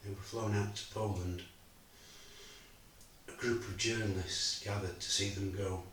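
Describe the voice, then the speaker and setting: A man in his sixties performing a quiet, regretful father in a theatre monologue, with a subdued, measured delivery. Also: deep voice, steady tone. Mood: sorrowful. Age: 60s. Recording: very echoey room, mic far away, faint hiss.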